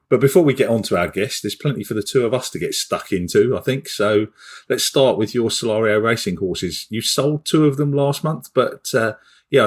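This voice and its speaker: A man co-hosting a podcast, croaky from a cough, talking casually with a lot of ums and uhs. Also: on the low side. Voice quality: husky. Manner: hesitant, many ums and uhs. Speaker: podcast co-host.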